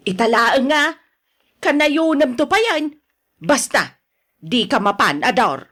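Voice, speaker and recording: An actress playing a put-upon wife who answers back, in an Ilocano radio drama, with an Ilocano accent and a husky voice. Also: female voice, high voice, big pitch swings, slow pace, a bit bright, full character voice. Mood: nagging.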